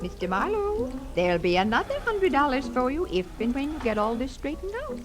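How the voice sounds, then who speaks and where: An actress playing a bossy, fussy wealthy client in a 1940s radio drama, with an emphatic, fluttery manner. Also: female voice, sits high, sing-song, fairly quick. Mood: bossy, flustered. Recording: vintage radio recording, music behind.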